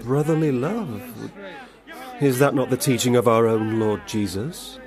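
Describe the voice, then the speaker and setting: An actor playing an eager, impressionable Victorian gentleman poet in a radio drama, agreeing in hesitant, stammered bursts. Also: medium pitch, a little slow, lightly acted. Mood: questioning. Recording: music under the voice.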